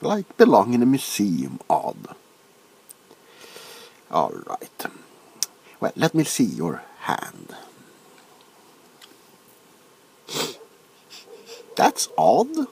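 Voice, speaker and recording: A soft-spoken man playing a nervous, eccentric doctor in a comedic ASMR roleplay, hesitant and repetitive, often dropping into whispers. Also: lowish voice, huge pitch swings, slow, creaky, long pauses, a little hiss or hum, voice pushed down, heavily acted. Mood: defensive, baffled. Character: nervous, flustered, eccentric male doctor examining a monster. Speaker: ASMR performer. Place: small cluttered room at home. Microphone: close to the camera.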